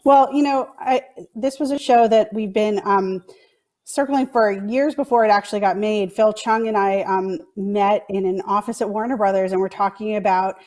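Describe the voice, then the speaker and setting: A woman's voice: mid-range voice, fairly even intonation, normal speed, a little mellow. A woman speaking conversationally as a producer answering on a panel.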